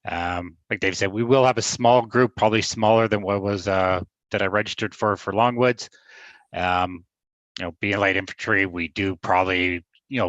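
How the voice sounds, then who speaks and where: A man's voice: lowish voice, a bit bright, no room sound. A man and unit captain giving an easygoing, rambling report on a muffled call. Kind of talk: casual online meeting report.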